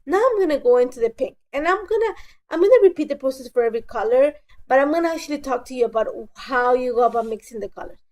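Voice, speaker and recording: Woman, stuffy nose from a cold, somewhat high, warm and mellow, chatty tutorial narration. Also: lively intonation, fast. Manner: chatty, self-correcting, apologetic. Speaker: scrapbooking crafter. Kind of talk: casual voice-over tutorial.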